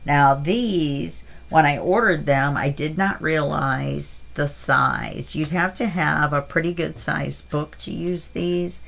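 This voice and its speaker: Slow, stuffy-voiced woman crafter. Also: deep voice, vocal fry, a bit bright. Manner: chatty, rambling with self-corrections and fillers. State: stuffy from allergies. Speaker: crafting channel host. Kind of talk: casual unboxing demo.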